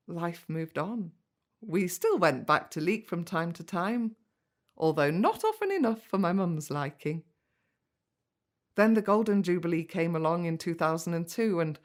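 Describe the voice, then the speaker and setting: An actress playing a wistful middle-aged daughter in an audio drama, gentle and understated as she talks of family life. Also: fairly low pitch. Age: late 40s. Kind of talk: naturalistic audio drama narration and dialogue.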